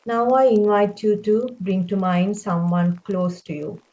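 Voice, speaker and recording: A woman leading a guided meditation, speaking in a gentle, calming way with unhurried phrasing. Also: medium pitch, low-quality recording.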